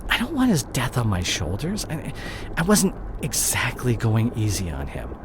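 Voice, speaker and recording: A man acting in an audio roleplay as a guilt-ridden disgraced CEO boyfriend, speaking to his partner in a halting, emotional way. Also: medium pitch, wildly swooping pitch. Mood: guilty, pleading. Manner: halting, emotional, repeats and breaks off words. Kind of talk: dramatic audio roleplay monologue addressed to the listener. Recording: clear vehicle noise behind the voice. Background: car ambience.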